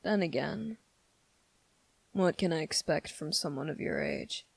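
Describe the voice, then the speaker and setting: A sardonic demon acted by a woman addresses the mortal who summoned it with smug condescension. The voice is on the low side with plenty of gravelly creak, very unhurried, with drawn-out pauses, in a dramatic ASMR roleplay. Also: lively intonation, dry recording, exaggerated voice. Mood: condescending, amused.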